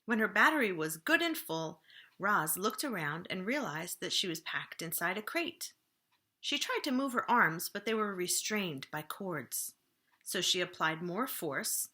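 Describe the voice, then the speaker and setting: Bright-voiced woman reading a children's story. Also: on the low side, animated. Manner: deliberate, dramatic emphasis with drawn-out phrases. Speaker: children's librarian reading aloud. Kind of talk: expressive children's storybook narration.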